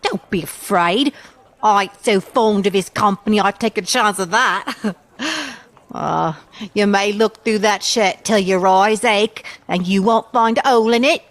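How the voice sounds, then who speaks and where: A woman performs a brazen old charwoman in a theatrical radio play. She is unsentimental and gossipy, in a medium-pitched voice with callous relish. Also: unhurried, lightly acted. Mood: callous, gloating.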